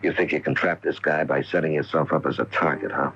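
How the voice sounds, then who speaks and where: An extremely deep-voiced wary, probing friend in a vintage radio drama. He cautions soberly. The sound is dull and faintly noisy. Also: fairly even intonation, fast, a bit bright. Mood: wary warning. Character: wary, cautioning male confidant of a crime victim. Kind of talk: 1980 radio drama acting. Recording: muffled, hissy old radio broadcast.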